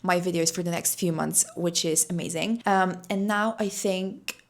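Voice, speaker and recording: A young woman who is a native Polish speaker, chatting casually and quickly in a vlog, with frequent light laughs. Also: on the low side, fairly even intonation. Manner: fast-talking, laughs often, upbeat. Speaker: language-learning vlogger.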